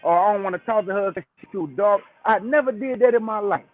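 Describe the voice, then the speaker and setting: Guy calling in on his phone, real high voice, preachy, keeps saying man and bro. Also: quick pace. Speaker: call-in guest. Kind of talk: casual call-in chat. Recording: tinny phone line.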